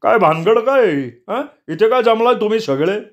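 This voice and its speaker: A man voicing an angry man roused from bed, loud and accusing. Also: high-pitched, animated, moderate pace, voice pushed up. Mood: irate. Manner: shouting, accusing. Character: grumpy man rudely woken, indignant.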